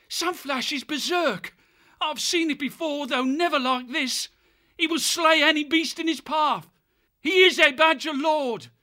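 High, urgent kestrel warrior. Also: male voice, sharp tone, exaggerated voice. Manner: shrieking, pleading warnings. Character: urgent, grave kestrel bird, loyal wild warrior.

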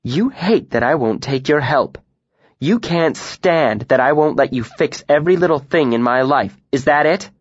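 A man voicing a proud, sharp-tongued young woman who speaks with biting scorn. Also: on the high side, lively intonation, moderate pace, slightly rough, no room sound, highs cut off, pitched above the performer's own voice. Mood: scornful anger.

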